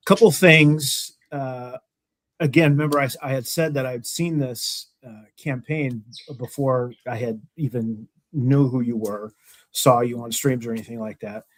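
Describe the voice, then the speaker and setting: A man's voice: mid-range voice, steady tone, unhurried. Casual, filler-heavy male podcast host. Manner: loose, filler-heavy, self-deprecating joker. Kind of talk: casual interview chat.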